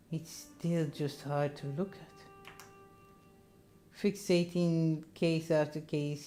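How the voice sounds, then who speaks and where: A woman's voice: deep voice, dark tone. A woman narrating a visual novel playthrough, reading the text aloud with casual asides.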